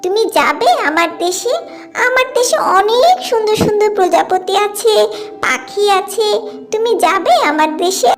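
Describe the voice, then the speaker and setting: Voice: very high-pitched, expressive, measured pace. A performer voicing a sweet, coaxing fairy woman in a cartoon fairy tale, with a sugary, inviting manner. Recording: background music.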